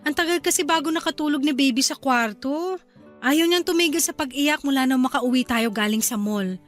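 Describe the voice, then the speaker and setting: A woman's voice: high-pitched, brisk, soft background music, lightly acted. A woman playing an affectionate, chatty young wife and new mother in a Filipino radio drama. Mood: gentle, relieved.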